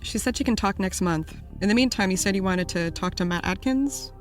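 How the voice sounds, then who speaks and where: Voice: mid-range voice, brisk, soft background music, lightly acted. An actor as blunt, breezy podcast producer. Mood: teasing, blunt. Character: breezy, dry-witted podcast assistant. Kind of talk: scripted casual chat.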